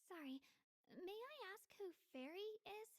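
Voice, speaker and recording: A woman's voice: very high-pitched, expressive, normal speed, no echo, clean studio sound. A woman playing an earnest, dutiful young female martial disciple in an anime-style video game. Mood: earnest, polite.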